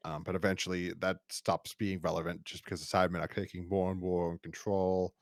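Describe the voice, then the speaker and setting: Rambling, low-voiced man hosting a podcast. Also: flat delivery, brisk, dry recording, clean studio sound. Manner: rambling, stumbling, repeats words as he goes. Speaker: podcast host. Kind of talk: casual review discussion.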